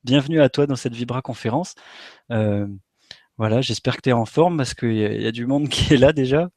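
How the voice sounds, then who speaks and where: A man hosting a live online conference, introducing his guest in a quick, friendly way with fillers. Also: medium pitch, darkish tone, no room sound, clean studio sound. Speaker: host.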